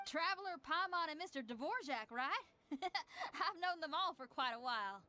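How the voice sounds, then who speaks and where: Bright, spirited young musician. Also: female voice, sits high, animated, unhurried, full character voice. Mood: cheerful. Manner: peppy, folksy, outgoing. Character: spirited, upbeat young female musician, bold and friendly. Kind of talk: video game voice acting.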